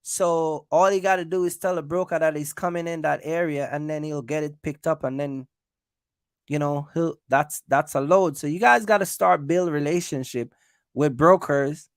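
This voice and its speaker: Higher-pitched, creaky-voiced man livestreaming advice. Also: fairly even intonation, normal speed, no room sound, clean studio sound. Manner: emphatic and repetitive, addressing viewers directly. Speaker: host of a trucking business livestream. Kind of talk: casual livestream advice talk to viewers.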